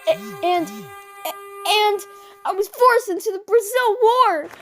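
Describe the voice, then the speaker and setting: Girl putting on a whiny lil anime catboy, stutters a ton, super high n squeaky, gets flustered over everything. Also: big pitch swings, slow pace, a bit bright, faint music behind, exaggerated voice. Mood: tearful, pleading. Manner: stammering, squealing, easily embarrassed. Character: flustered, whiny young male catboy master.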